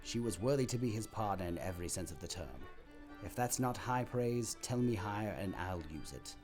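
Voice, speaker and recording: A man narrating a classic story expressively and theatrically, with a husky voice. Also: fairly low pitch, brisk, music in the background.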